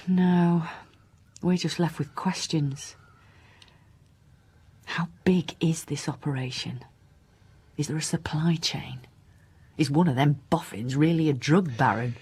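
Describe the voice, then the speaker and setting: Radio drama: weary female inspector, husky, dry delivery, lowish register. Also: takes it slowly, long pauses, a little mellow, heavily acted. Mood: weary musing. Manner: blunt, dry, questioning. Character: driven, weary, sardonic female police inspector. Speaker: actor.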